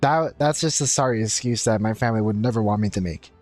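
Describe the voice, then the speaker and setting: A man's voice: fairly low pitch, fast talker, darkish tone, no echo. A man chatting casually on a game livestream, explaining himself and circling back over his points. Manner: repetitive, explanatory. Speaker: game streamer. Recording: game music behind voice.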